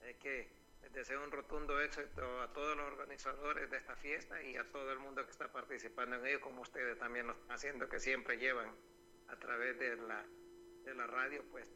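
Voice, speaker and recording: A man's voice: medium pitch, flat delivery, brisk, bright, forceful tone. A man, calling in by telephone to a local radio show, talking in a devout, nostalgic way with many fillers. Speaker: phone-in caller. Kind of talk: casual phone chat. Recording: noisy phone line.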